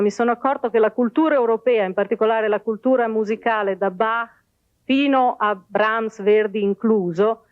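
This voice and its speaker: A woman working as moderator and interpreter, translating in turns at a conference. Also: on the high side. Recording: thin, phone-like band-limited archive audio.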